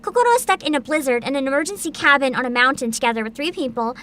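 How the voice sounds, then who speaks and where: A woman's voice: high voice, rapid-fire, fairly bright tone, slight background noise. A woman hosting a livestream, chatting casually and reading bits aloud in a bubbly, enthusiastic manner. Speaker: virtual streamer host.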